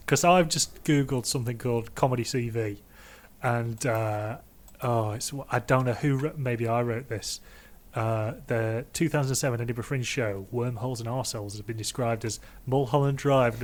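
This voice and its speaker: A man's voice: mid-range voice, fairly even intonation, normal speed. A man from England hosting a podcast, chatty and rambling, often laughing. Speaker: podcast host. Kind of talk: casual podcast conversation.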